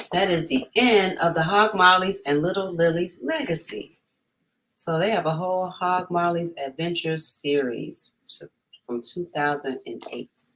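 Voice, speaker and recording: A woman's voice: on the low side, a bit bright, slight background noise, low-quality recording. A woman hosting a storytime, reading aloud and chatting informally and encouragingly to families. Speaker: storytime host.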